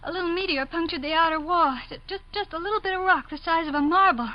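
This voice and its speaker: An actress playing a brave, injured young pregnant lieutenant, weak and shaken, in a 1950s radio drama. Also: female voice, very high-pitched, quick pace. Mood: pained, shaken. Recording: muffled vintage 1950s radio recording.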